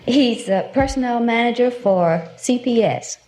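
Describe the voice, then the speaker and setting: A woman's voice: fairly high pitch, unhurried, warm tone. A woman being interviewed as a contestant on a 1950s radio quiz show, answering and telling her story.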